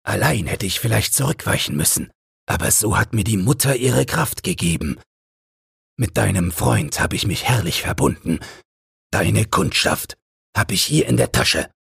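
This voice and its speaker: Man voicing a husky, vengeful old father. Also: lowish voice, expressive, moderate pace, fairly bright tone, no echo, clean studio sound, voice pushed up, exaggerated voice. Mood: boastful, threatening. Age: older. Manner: taunting, declamatory, condemning. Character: vengeful, mocking old father, scornful and triumphant. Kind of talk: dramatic audiobook character reading.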